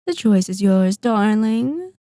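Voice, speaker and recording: A woman voicing an elegant, possessive and sadistic vampire in an intimate ASMR roleplay, speaking deliberately with teasing, condescending endearments. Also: mid-range voice, lively intonation, warm tone, no room sound, clean studio sound. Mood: taunting, menacing.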